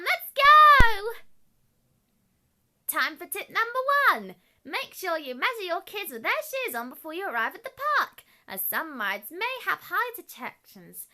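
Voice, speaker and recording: A woman's voice: very high-pitched, sharp tone. A girlish female presenter giving a scripted promotional tour as a junior reporter, in a perky, exclamatory way.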